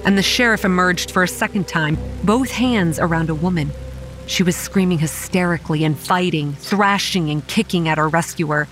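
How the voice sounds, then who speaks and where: A woman playing a young campground manager, narrating a horror audio drama in a grave, measured way with a dry edge. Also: on the low side, close to an everyday voice, low-quality recording. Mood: grave, haunted. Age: young adult. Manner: grave, measured storytelling with dry sarcastic asides. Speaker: narrator and lead actor. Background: quiet music and rain.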